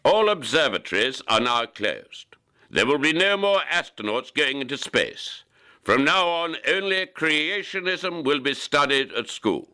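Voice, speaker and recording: A man's voice: on the high side, pushed and bright, exaggerated voice. A man playing a zealous authoritarian religious ruler, declaiming commands in an audio drama. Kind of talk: scripted speech in an audio drama.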